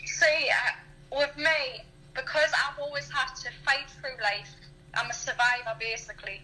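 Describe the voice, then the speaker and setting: A woman's voice: sits high, fairly even intonation, measured pace, sharp tone, slight background noise, rough recording. A woman in her early thirties from Newcastle with an English accent, chatting candidly and earnestly about herself. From Newcastle, England. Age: early 30s. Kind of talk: candid personal chat.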